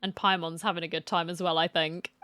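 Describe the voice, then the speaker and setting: Lowish-voiced woman streamer, dry sound. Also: flat delivery, fairly quick, bright, forceful tone, smooth, no echo. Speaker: streamer reacting to a game. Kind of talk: casual reaction commentary, reading narration aloud.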